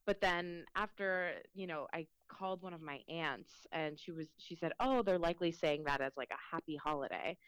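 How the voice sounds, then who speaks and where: A woman's voice: sits low, normal speed, hardly any pauses, clean tone, dry recording, low-quality recording. A young woman reporter from Mexico with a Mexican accent, chatting casually in an interview and filling her speech with ums and likes. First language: Spanish.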